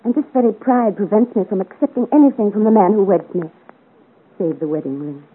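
A woman's voice: mid-range voice, a little fast, breathy edge, phone-quality sound, natural voice. An actress playing a proud, devoted young noblewoman in a 1950s radio melodrama, speaking earnestly in formal, theatrical period style. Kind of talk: 1950 radio serial romantic melodrama acting. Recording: thin, noisy old 1950s radio recording.

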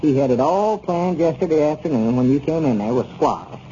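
Guy doing folksy neighbor smoothing things over, expressive pitch, 1930s radio comedy, noisy old recording. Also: fairly quick, dull audio, natural voice. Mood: earnest, placating. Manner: earnest, folksy, placating. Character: well-meaning small-town male neighbor, reasonable peacemaker.

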